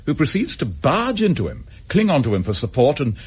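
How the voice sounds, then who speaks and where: Give the radio play a dutiful English chief inspector. His voice is husky and moves freely in pitch. He talks in a clipped, businesslike way, with a frustrated edge of duty. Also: huge pitch swings, fairly quick, exaggerated voice. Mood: explaining, thoughtful. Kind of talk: radio drama dialogue.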